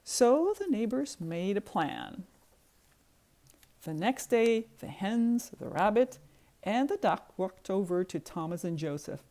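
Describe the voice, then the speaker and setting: A woman reading a children's book aloud in expressive narration, stressing repeated words rhythmically. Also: mid-range voice, slow.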